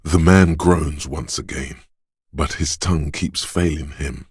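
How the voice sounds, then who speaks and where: An actor voicing a brooding inner voice of the senses for a game, narrating slowly and quietly in the second person and dwelling on every sensory detail. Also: male voice, a bass voice, husky, no echo, clean studio sound, heavily acted. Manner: slow, hushed, lingering on sensory detail. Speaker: voice of a game's inner perception skill.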